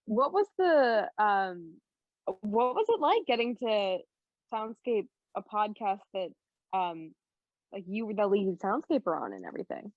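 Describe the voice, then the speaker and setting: Woman host, lively intonation, bantering, casual panel talk, dull lo-fi recording. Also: medium pitch, a little slow, no echo. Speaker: host, moderator. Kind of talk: casual panel chat, post-show commentary. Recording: dull, lo-fi, dry sound.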